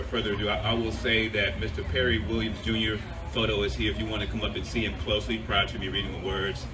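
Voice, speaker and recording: A man speaking earnestly and in a measured way as he presents and reads poetry at a live event. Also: lowish voice. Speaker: poetry reader, radio host. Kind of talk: spoken poetry reading with informal introductions. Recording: distant mic, clear background noise.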